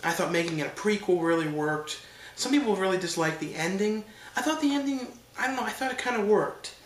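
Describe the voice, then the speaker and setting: A man's voice: sits high, expressive, fairly quick, reverberant, a little hiss or hum. A man with a stuffed-up nose from allergies giving a loose, rambling casual review, with many fillers and restarts. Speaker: horror film reviewer.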